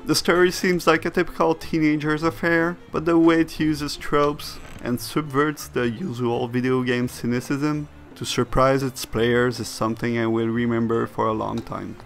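A man's voice: mid-range voice, little pitch movement, normal speed, vocal fry, hardly any pauses. Male narrator for a scripted games essay; mid-range voice over a music bed. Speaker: narrator reading a video essay.

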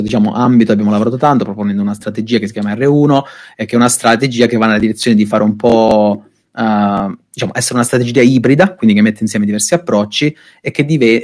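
A young man with a fairly low voice gives a technical lesson over a video call. He explains in a loose, easygoing way, with many fillers and restarts. The sound is the plain sound of a home video call. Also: darkish tone. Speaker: speaker. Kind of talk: online tech talk.

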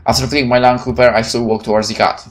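A man's voice: medium pitch, little pitch movement, rapid-fire, faint music behind. Man reading a visual novel aloud. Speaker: gameplay streamer.

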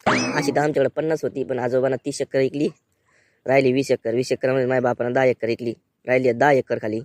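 A man's voice: medium pitch, level tone, fast talker, darkish tone, no echo, lightly acted. A man voicing a naive, boastful young groom in a cartoon, bragging in a Vidarbha Marathi accent. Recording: music playing behind.

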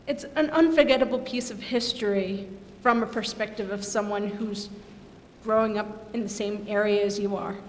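A young woman speaks slowly and a little high, earnest and halting as she finds her words. The sound is roomy and echoing, with a faint noise bed beneath it.

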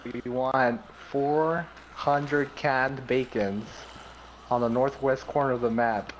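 A man chatting casually as he plays a video game, excitable and foul-mouthed, repeating himself often. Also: mid-range voice, slow pace, slight background noise, rough recording. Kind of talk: casual gaming chat with friends.

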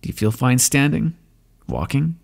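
Male actor as weary, brusque scientist captor for audio roleplay; gravelly, dry delivery with a swinging pitch. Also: big pitch swings, moderate pace, darkish tone. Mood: terse, watchful. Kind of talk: ASMR roleplay monologue, intimate acting. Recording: long reverberant echo.

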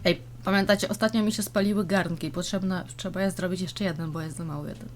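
A woman's voice: lowish voice, steady tone, quick pace, faint music behind. A young woman hosting a gaming video, chatting casually and in slang with friends. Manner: informal, slangy. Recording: faint background noise.

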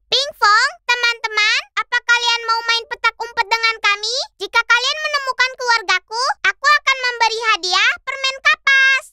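A woman's voice: very high-pitched, moderate pace. A woman voicing a cheerful, childlike baby shark in a children's cartoon dub. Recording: loud children's music behind.

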